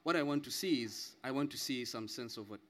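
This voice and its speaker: Male panelist, very quick medium-pitched delivery, emphatic and repetitive. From Zambia. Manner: emphatic repetition, frequent checking "right?". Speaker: panel guest. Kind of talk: spontaneous explanatory discussion.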